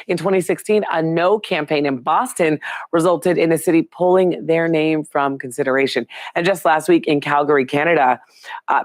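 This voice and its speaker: Hoarse raspy lady hosting a live stream, kinda low voice, dry close sound, chatty and upbeat. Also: no room sound. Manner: chatty, upbeat, throws questions to viewers. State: hoarse from a cold. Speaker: live show host. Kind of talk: live-stream news chat, reading viewer comments.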